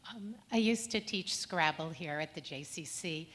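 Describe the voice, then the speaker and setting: A woman's voice: on the low side, normal speed, fairly bright tone. A woman in an audience asking a casual, unscripted question.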